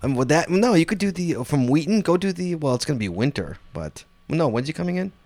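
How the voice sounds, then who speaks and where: Man, podcast host, casual, rambling, animated pitch, dry sound. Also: fairly quick, no room sound. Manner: laughs easily, rambling, many fillers. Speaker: podcast host reading listener questions. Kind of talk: casual chat.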